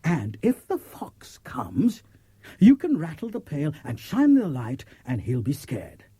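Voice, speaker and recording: A man's voice: fairly high pitch, wildly swooping pitch, slow pace, warm tone, slightly rough, no room sound, full character voice. A man playing a kindly, fretful older farmer in an audiobook, coaxing and persuading. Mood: worried, persuasive. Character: kindly, worried older male farmer coaxing his cat. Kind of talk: audiobook character acting. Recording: cassette transfer with faint music.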